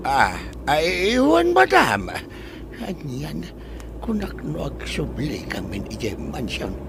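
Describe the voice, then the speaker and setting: A man's voice: fairly high pitch, wildly swooping pitch, slow pace, background noise, heavily acted. A man playing a flustered, apologetic older driver with a hesitant, stammering delivery in an Ilocano radio comedy drama.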